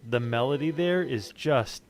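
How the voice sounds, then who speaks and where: A man's voice: medium pitch, unhurried. A man in casual conversation, talking in an emphatic, opinionated and unguarded way. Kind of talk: casual chat.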